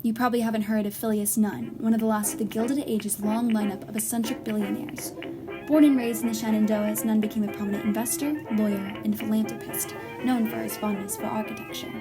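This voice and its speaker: An actress plays a serious female investigative reporter with a slightly high voice. She is measured and probing, in the style of a scripted documentary podcast. Music runs clearly beneath her. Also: steady tone, lightly acted. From Northern Virginia. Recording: music behind the voice.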